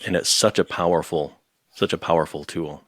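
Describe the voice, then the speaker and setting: Guy interviewer w a low voice, casual chat, really dry recording. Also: fairly even intonation, normal speed, fairly bright tone, no echo. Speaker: interviewer, host.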